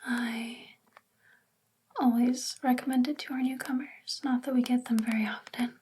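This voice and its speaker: A woman playing a sweet, gentle, self-doubting witch librarian in a soft-spoken, confiding ASMR roleplay with a breathy, whispery voice. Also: fairly high pitch, level tone, moderate pace, noisy background, lightly acted. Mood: gentle, matter-of-fact.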